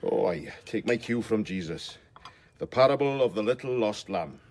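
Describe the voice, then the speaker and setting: An actor playing a gruff, wry, caring doctor in a radio drama, husky and bluntly commanding with his friends. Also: lowish voice, lively intonation, moderate pace, darkish tone, close to an everyday voice. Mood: wry, scolding. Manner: blunt, commanding, dryly teasing. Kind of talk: naturalistic radio drama dialogue.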